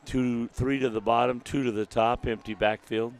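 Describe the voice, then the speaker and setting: A man with a deep, gravelly voice, calling a live local high school football game in a folksy manner while recovering from a lost voice. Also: moderate pace. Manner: folksy, excitable on big plays. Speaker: play-by-play announcer. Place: outdoor stadium press box. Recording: outdoor stadium broadcast.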